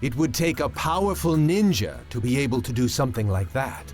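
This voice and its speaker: A man playing an analytical young ninja in an anime game cutscene, with formal, articulate, deliberate phrasing. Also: medium pitch, big pitch swings, a little mellow, lightly acted. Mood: intrigued, analytical. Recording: music under the dialogue.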